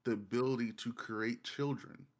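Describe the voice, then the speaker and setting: A man co-hosting a podcast in casual, slangy, somewhat halting talk. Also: mid-range voice, fairly even intonation. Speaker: podcast co-host. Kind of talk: casual chat.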